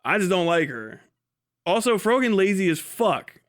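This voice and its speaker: A man's voice: high voice, sing-song, moderate pace, fairly bright tone. A man hosting a casual livestream, rambling bluntly with lots of profanity and muttered asides to himself and chat. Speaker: livestream host.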